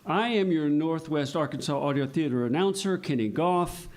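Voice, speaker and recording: A man with a somewhat high voice hosts a live children's audio theater show. He delivers scripted announcements and explains things in a patient, simple way. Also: moderate pace, a little mellow. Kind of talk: scripted live stage presenting and dialogue.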